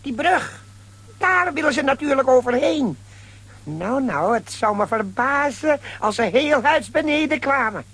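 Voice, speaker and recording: Husky, muttering villain. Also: male voice, very high-pitched, sing-song, unhurried, fairly bright tone, full character voice. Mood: gloating doubt. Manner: muttering to himself. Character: grumbling, scheming villain spying from afar. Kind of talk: children's audio drama acting.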